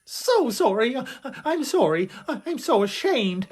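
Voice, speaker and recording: A woman's voice: fairly high pitch, very animated, measured pace, a little mellow, slightly rough, heavily acted. A woman playing a timid, childlike Martian simpleton, meek and apologetic, in a comic audio drama. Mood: sheepish. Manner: stammering, meek. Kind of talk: comic sci-fi radio play acting.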